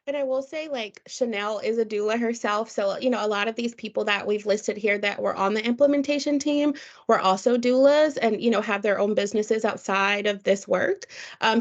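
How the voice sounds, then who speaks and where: A woman presenting evaluation findings on a webinar, talking casually with lots of fillers. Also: medium pitch. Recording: dull lo-fi call audio.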